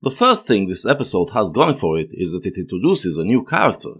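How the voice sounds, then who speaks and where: A man with a low voice narrates a scripted analytical essay. His pitch swings very widely as he gives his opinions in formal phrasing, like the host of a review series. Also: very animated, brisk, fairly bright tone, slightly rough.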